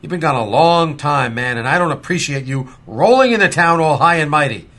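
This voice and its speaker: A man's voice: a little high, lively intonation, a little fast, a bit bright. A man playing a hot-tempered, defensive small-town sheriff in an audio drama, with a blunt, folksy manner. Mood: angry, defensive.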